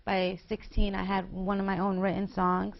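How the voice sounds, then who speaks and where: A woman's voice: medium pitch, monotone, moderate pace, vocal fry. Giggly young woman, muffled recording. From New York. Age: 20s. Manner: bubbly, giggly, chatty. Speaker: guest singer. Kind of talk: casual interview.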